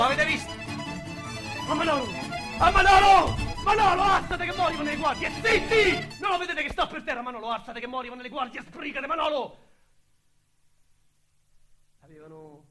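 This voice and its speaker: An actor playing an excitable, streetwise Roman small-time robber, telling his story as a theatrical radio drama monologue in slangy dialect. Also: extremely high pitch. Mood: frantic, then hushed, grieving. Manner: slangy, vulgar, theatrical storytelling with shouts and hushed asides. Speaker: actor narrator. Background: music under the voice.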